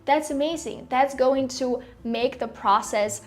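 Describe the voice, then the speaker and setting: A woman's voice: high-pitched, measured pace. A young woman from Russia whose first language is Russian, speaking English with a learned American accent and chatting to camera about her experience, full of "like" fillers and small laughs. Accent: American-style English, learned. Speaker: English teacher YouTuber. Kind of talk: casual talk to camera.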